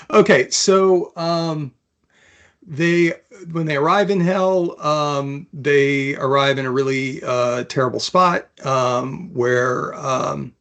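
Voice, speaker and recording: A man, an author, talking about and reading from his own fantasy novel in a thoughtful, measured manner. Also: a little high, a bit bright. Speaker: author, interview guest.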